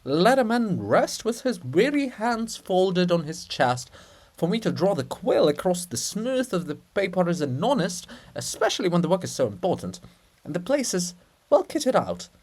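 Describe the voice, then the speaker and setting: A self-important bearded scribe, acted by a reader. He is fussy, formal and easily indignant, speaking in theatrical farce style with very animated intonation. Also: medium pitch, moderate pace. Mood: pompous, indignant. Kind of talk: dramatic stage reading of a farce.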